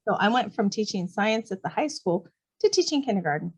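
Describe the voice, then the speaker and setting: Woman author guest just chatting casually, medium pitch voice. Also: big pitch swings, a little fast, husky. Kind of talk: casual interview chat.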